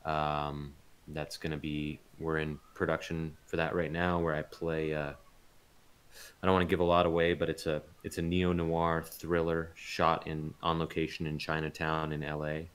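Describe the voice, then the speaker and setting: Guy with a super deep low voice, chatting casually in an interview, loose and informal. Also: flat delivery, moderate pace, fairly bright tone, smooth. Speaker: interview guest, voice actor and audio drama producer.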